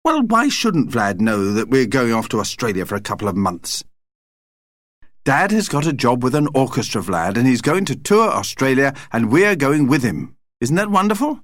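A man's voice: medium pitch, big pitch swings, normal speed, dry recording, clean studio sound, lightly acted. A man voicing a frank young daughter in an audiobook, eagerly explaining things. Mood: frank, excited.

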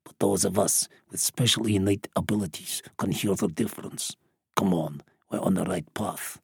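Hushed, creaky, ancient smuggler, very low. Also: male voice, moderate pace, no room sound, studio quality, heavily acted. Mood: smug, dry. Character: ancient wrinkled male border smuggler, gruff and secretive.

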